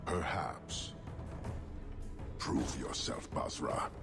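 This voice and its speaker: A man playing an imposing, stern horned giant warlord in a fantasy game, speaking in a deliberate, scornful, declamatory way. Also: very deep voice, lively intonation, vocal fry, exaggerated voice. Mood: measured, challenging. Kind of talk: dramatic fantasy game acting. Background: loud dramatic music.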